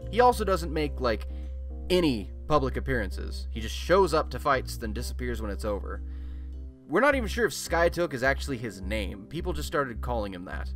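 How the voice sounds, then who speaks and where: Male actor as wry superhero-city radio host. Casual, conversational, animated pitch, soft music underneath. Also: normal speed. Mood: enthusiastic, chatty. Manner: wry, conversational, mildly sweary. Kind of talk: casual scripted radio monologue.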